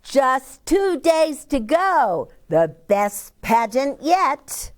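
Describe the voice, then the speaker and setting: Woman putting on a high, chirpy schoolteacher. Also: big pitch swings, heavily acted. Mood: excited. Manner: chirpy, encouraging, drawn-out. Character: bright, reassuring woman schoolteacher rallying her class.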